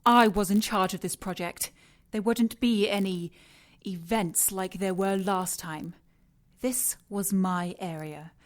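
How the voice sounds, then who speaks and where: An actress playing a cold, seductive scientist in an audio drama, speaking with clinical precision and teasing condescension. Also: female voice, mid-range voice, fairly even intonation, slow, natural voice. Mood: clinical, matter-of-fact. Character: cold, mocking, seductive female scientist in charge of experiments. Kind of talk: audio drama acting, sci-fi noir.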